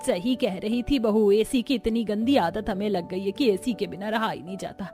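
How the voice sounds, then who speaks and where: A woman's voice: medium pitch, normal speed, a little mellow, faint music behind, lightly acted. A woman voicing a middle-aged mother-in-law in a cartoon family drama, emphatic and matronly. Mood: resigned. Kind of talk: Hindi cartoon story voice acting.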